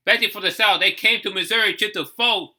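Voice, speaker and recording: A man giving casual, off-the-cuff reaction commentary as host, thinking aloud with halting, repeated phrases. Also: sits high, fairly even intonation, pushed and bright.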